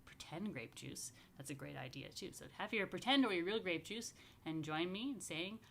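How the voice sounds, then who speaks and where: A woman's voice: fairly low pitch, lively intonation, moderate pace, gravelly, a bit bright, a little hiss or hum. A woman speaks as a rabbi hosting story time for young families. She talks casually and directly to viewers, inviting them to take part. Her delivery is playful and informal, with chatty asides.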